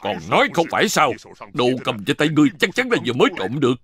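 Cartoon stone lion: imposing, accusing, rapid, widely varied intonation. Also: male voice, a little high, huge pitch swings, a bit bright. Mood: stern, accusing. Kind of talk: dubbed children's cartoon acting. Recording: music behind the voice.

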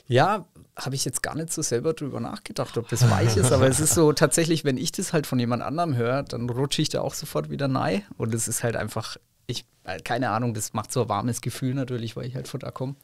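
Creaky-voiced young man, casual chat. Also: medium pitch, fairly quick, no echo. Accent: light Franconian German. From Middle Franconia, Germany. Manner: relaxed, chatty, laughs often. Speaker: podcast guest, comedian and actor.

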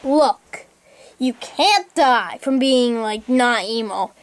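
A woman plays a laid-back guy in a homemade comedy skit. The talk is slow, casual and dryly exasperated, recorded close and dry. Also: fairly high pitch, animated, no room sound. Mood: offhand. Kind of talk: amateur comic fan skit.

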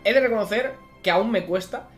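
A young adult man from streaming from home, chatting casually with loud excited outbursts and swearing. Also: high voice, a little fast, faint music behind. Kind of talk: casual live-stream chat.

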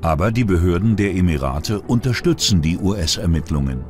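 Man, low voice, scripted true-crime narration, soft music behind. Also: lively intonation, moderate pace. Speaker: documentary narrator. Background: music bed.

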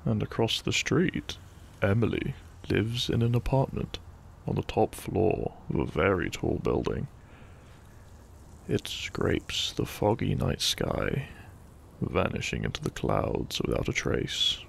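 Gravelly, hushed man telling a bedtime story. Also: low voice, lively intonation, a little hiss or hum. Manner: soothing, hushed, lingering pauses between short phrases. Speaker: narrator of sleep-aid audio. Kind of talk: soft, gentle bedtime story narration, ASMR-like.

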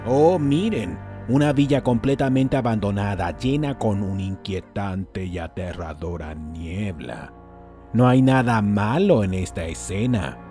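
A man's voice: on the low side, lively intonation, unhurried, mellow. A man voicing an anxious, fussy flying sidekick who worries aloud and makes dry sarcastic remarks, in a comic fan dub. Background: background music.

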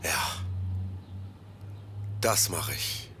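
Guy acting as a laid-back work-shy dude mumbling to himself, real slow, noisy background. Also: rumbling low, animated, pushed and bright, full character voice. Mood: wistful, relaxed. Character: Plex, easygoing work-shy man musing to himself. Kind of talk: German audio play monologue.

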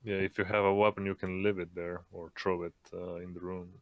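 A man narrating as game master of an online roleplaying game, with hesitant, halting delivery over voice chat. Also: sits low, flat delivery, narrow, tinny audio. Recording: background noise on voice chat.

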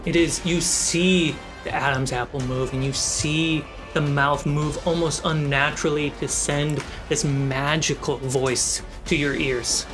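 Game master narrating over loud music. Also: medium pitch, fairly even intonation, a little slow, few pauses. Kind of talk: narrating a tabletop role-playing game. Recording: loud background music under the voice. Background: cinematic musical score.

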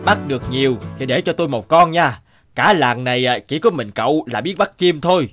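A man's voice: mid-range voice, lively intonation, brisk, close to an everyday voice. A man playing a friendly older villager in a children's folktale cartoon, chatty and teasing. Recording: loud music behind.

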